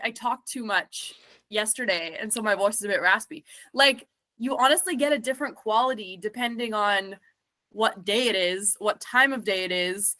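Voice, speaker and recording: A woman's voice: on the high side, pushed and bright. A woman singer and self-producing artist, answering interview questions in a chatty, reflective way full of fillers.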